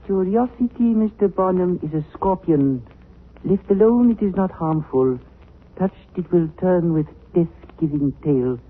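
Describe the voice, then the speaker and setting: An actor playing a suave, menacing smuggler in a 1940s radio drama, speaking with formal, ornate politeness. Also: male voice, sits high, animated, unhurried. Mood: cool, veiled menace. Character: suave, menacing male smuggler of narcotics with courtly speech. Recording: tinny, band-limited 1940s radio recording.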